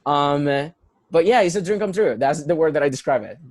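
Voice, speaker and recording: A man's voice: on the high side, expressive, fairly quick, no echo, clean studio sound. A young man from Puerto Rico with a Puerto Rican Spanish accent, a musical theater performer talking earnestly as an interview guest, with many fillers and restarts. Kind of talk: casual conversational interview.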